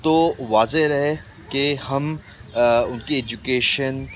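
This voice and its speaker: A clearly noisy recording with a rumble behind the voice carries a Pakistani man of medium pitch. He talks to viewers in an informal explainer style. His delivery is halting, with frequent pauses partway through sentences. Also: a bit bright. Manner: halting, pausing often mid-sentence. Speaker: video host.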